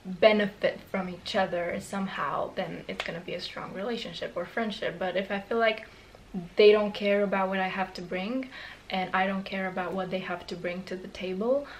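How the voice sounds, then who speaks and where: A woman's voice: mid-range voice, fairly even intonation, normal speed, a little hiss or hum, rough recording. A woman in her twenties with a Romanian accent, talking candidly to camera in a small bedroom, rambling with many fillers and restarts. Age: 20s. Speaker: vlogger. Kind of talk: casual talk to camera.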